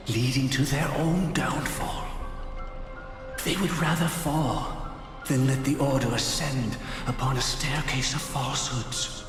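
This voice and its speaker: Male actor as brooding fantasy-game man: slow, measured, solemn, theatrical; heavy reverb with music underneath. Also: mid-range voice, natural voice. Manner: grave, deliberate, theatrical delivery. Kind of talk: dramatic video game voice acting. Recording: echoey hall reverb, music behind.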